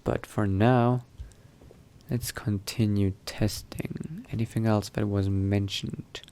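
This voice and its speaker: A man doing in-character game commentary, thinking aloud in a musing, unhurried murmur to himself. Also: fairly low pitch, steady tone, warm tone. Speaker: gamer narrating a roleplay playthrough.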